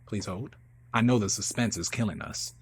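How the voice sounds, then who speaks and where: A man's voice: lowish voice, animated, moderate pace, vocal fry, slight background noise. A man in casual, self-promoting chat, talking in hype slang and repeating phrases for emphasis. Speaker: playwright and performer.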